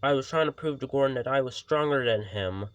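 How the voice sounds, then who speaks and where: A man's voice: medium pitch, brisk, no echo, highs cut off, voice pushed up, close to an everyday voice. A man voicing a boastful, cheerful young male fire engine in a storybook. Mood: nervous. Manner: cheerful, overconfident.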